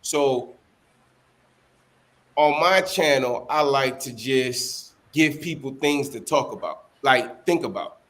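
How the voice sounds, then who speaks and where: A man's voice: medium pitch, takes it slowly, fairly bright tone. A man who is a guest in a casual interview, answering in an easygoing, hedging way with many fillers. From Dominican, born in the United States.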